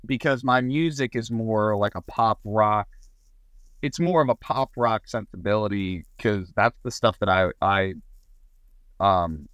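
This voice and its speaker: Chatty low-voiced man from Maryland. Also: a little slow, fairly bright tone, smooth, no echo. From Southern Maryland, USA. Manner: chatty, many restarts and fillers. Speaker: guest singer-songwriter. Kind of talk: casual podcast conversation.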